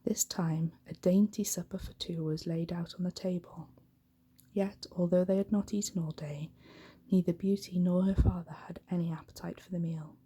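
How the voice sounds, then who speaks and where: A woman reads a bedtime story in soft-spoken ASMR style. Her voice is low, mellow and breathy, often slipping toward a whisper. The delivery is gentle and unhurried. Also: steady tone.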